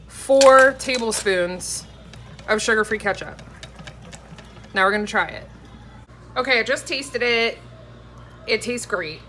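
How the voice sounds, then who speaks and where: Chatty woman in her 30s doing a cooking vlog, very bright voice, bit of background noise. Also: a little high. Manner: chatty, explanatory. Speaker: cooking vlogger. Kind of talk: casual recipe vlog. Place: home kitchen. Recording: faint background noise in kitchen.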